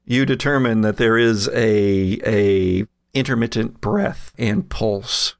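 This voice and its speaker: A man running a tabletop game, improvising narration with halting, drawn-out hesitations. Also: fairly low pitch, animated, gravelly, no room sound, studio quality. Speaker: game master.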